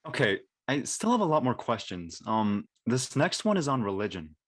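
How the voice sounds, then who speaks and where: A man's voice: medium pitch, big pitch swings, moderate pace, fairly bright tone, no echo, clean studio sound. A man playing a laid-back, inquisitive young student in a casual script reading.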